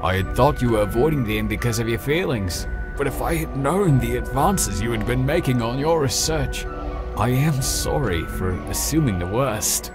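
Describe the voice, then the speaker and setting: A man's voice: medium pitch, animated, moderate pace, music in the background, natural voice. A man playing an earnest, polite young male paladin trainee in a visual novel, with formal phrasing. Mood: earnest, admiring. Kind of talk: scripted visual novel acting.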